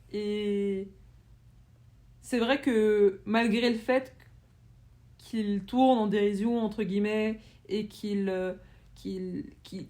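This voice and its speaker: A woman's voice: medium pitch, warm tone. A woman podcast host asking a long, searching question, with restarts and fillers.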